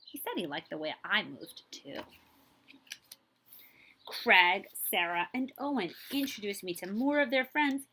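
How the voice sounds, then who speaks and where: A woman librarian reading a picture book aloud to children in an expressive way, with drawn-out emphasis and a habit of addressing her listeners. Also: a little high, a little hiss or hum. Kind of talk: children's read-aloud storytelling with asides to listeners.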